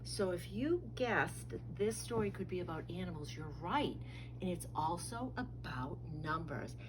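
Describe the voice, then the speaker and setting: Woman, preschool teacher, slow delivery, sing-song children's story time, simple repetitive phrasing, encouraging, noisy background. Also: lowish voice, lively intonation.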